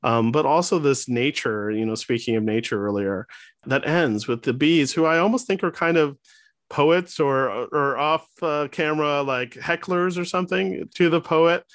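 A man's voice: on the high side, normal speed, fairly bright tone, dry recording, studio quality. A man hosting a conversation with a poet, musing aloud and asking open, curious questions. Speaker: interview host. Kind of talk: conversational interview.

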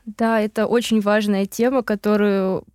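A woman's voice: medium pitch, little pitch movement, normal speed, darkish tone, smooth, no echo, studio quality. A young woman answering interview questions about her internship, with frequent hesitations and restarts. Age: young adult. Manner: hesitant, many fillers and restarts.

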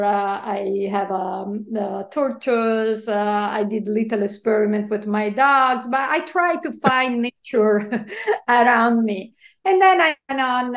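Italian accent woman, guest on a podcast, lots of uhs, echoey room. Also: mid-range voice. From Italy. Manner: halting with many fillers, laughs softly. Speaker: guest, marine biologist. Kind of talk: interview conversation.

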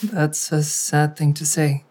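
An actress playing a plain-spoken, wounded grown daughter in naturalistic audio drama dialogue. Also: female voice, low-pitched, steady tone, takes it slowly, mellow. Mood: hurt, accusing. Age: adult.

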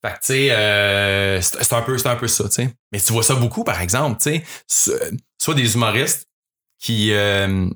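A man's voice: fairly low pitch, normal speed, bright, forceful tone. A young man with a Québécois accent, a radio writer chatting as a podcast guest, rambling with many fillers and restarts. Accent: Québécois French. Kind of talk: casual podcast chat.

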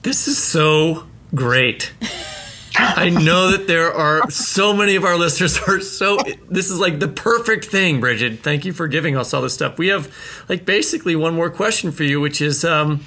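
A man's voice: on the high side, lively intonation, normal speed, bright, forceful tone. A man co-hosting a podcast, interviewing in an informal, enthusiastic, rambling way. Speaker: podcast co-host. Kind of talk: casual, chatty interviewing.

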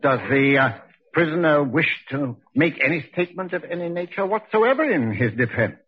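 An actor playing a formal, ponderous judge who speaks haltingly and pompously in a 1940s radio drama. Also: male voice, on the high side, expressive, lightly acted. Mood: solemn, stern. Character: formal, ponderous male judge presiding over a trial. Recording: muffled vintage radio recording.

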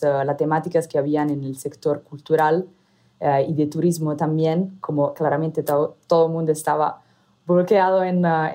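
A woman from Italy speaking with an Italian accent as a guest and company founder, talking in a halting way with many hesitations and restarts. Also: low voice, dark tone. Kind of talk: casual interview talk.

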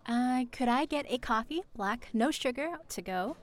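A woman's voice: fairly high pitch, animated, moderate pace, natural voice. A woman voicing a young newcomer reporter, chipper and self-deprecating, in an audio drama. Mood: upbeat. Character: young woman reporter, new in town, upbeat. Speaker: journalist.

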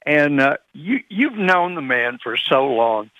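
A man from East Texas, slightly raspy, chatting in a folksy way full of fillers as a guest. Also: a little high, sing-song, slow, sharp tone, dry recording. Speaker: congressman guest. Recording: dull lo-fi line.

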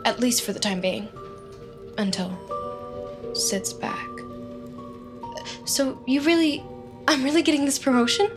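A woman's voice: high-pitched, lively intonation, measured pace, long pauses, music in the background. An actress playing an anxious, obsessive young woman who talks in a nervous, stammering way in an audio drama. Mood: stunned, tentative. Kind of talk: audio fiction acting.

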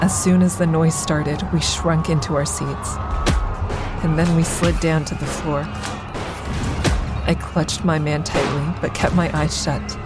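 An actress playing a traumatized woman survivor in an audio drama, recounting her ordeal in a measured, deliberate way. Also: low voice. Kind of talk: full-cast audio drama monologue.

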